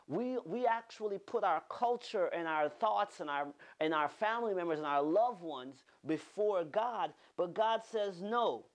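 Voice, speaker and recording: A man in his thirties, a pastor delivering an animated online sermon from a studio, repeating words for emphasis. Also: sits high. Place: studio with green-screen backdrop.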